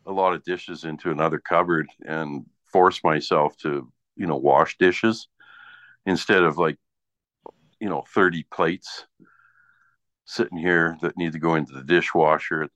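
Deep-voiced, blunt man. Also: fairly even intonation, measured pace, fairly bright tone. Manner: blunt, grumbling, profane. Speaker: small business owner and online seller. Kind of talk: casual chat. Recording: dull lo-fi sound in echoey room.

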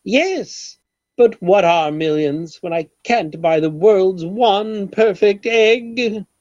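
An actor playing a pompous, eccentric millionaire obsessed with collecting eggs, with flowery theatrical delivery in old-time radio comedy style. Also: male voice, sits high, slow pace, darkish tone. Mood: conspiratorial, dramatic. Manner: theatrical, grandiloquent, flowery formal speech. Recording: dull old radio recording.

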